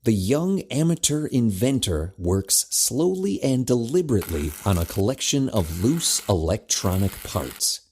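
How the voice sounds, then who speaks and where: A man's voice: medium pitch, very animated, a little slow, hardly any pauses, a little mellow, slight background noise. A man narrating a scripted teen adventure audio drama as the storyteller. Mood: measured, dramatic.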